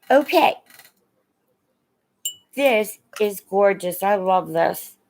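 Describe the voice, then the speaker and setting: A woman's voice: medium pitch, creaky. A middle-aged or older woman from Indiana with a Midwestern American accent, chatting casually as she sells vintage pieces on a livestream and addresses viewers with endearments. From Indiana, United States. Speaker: live online seller of vintage and antiques. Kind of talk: casual livestream sales chat.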